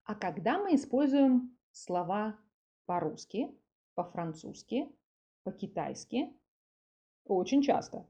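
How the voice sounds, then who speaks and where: A woman's voice: lowish voice, dark tone. A woman hosting a language lesson podcast, speaking didactically in separated phrases with repeated examples. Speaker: podcast host, Russian language teacher. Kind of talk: scripted language lesson for learners.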